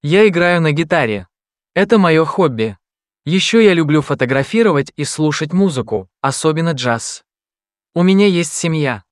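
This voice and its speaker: A friendly young male student, read out by a man in plain, careful, simple sentences for learners. the voice sits fairly high for a man. it is close and dry, with no room sound at all. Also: animated, normal speed, no echo, close to an everyday voice. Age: young adult. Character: young male student introducing his family. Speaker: voice actor in a language test recording. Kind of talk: slow scripted reading for learners.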